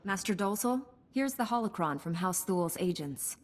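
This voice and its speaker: Game heroine, played by a woman: young knight, assured and direct, medium pitch, big reverb. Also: lively intonation, moderate pace, lightly acted. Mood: dutiful. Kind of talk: video game cutscene dialogue.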